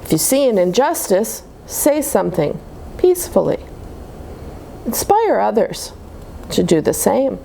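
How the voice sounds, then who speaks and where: A woman reading picture books aloud to young children in an expressive, explaining manner. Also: mid-range voice, measured pace, gravelly, long pauses, slight background noise, low-quality recording. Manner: animated, simple explanations to children. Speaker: children's storybook reader at a church.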